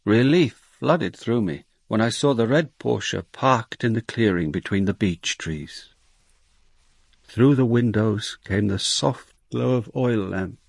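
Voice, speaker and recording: A world-weary detective telling his own story in a radio drama. The man's voice is husky and creaky, with an animated, wry delivery. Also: lowish voice, slow pace, no echo, full character voice. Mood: wry, reflective. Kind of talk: first-person radio drama narration.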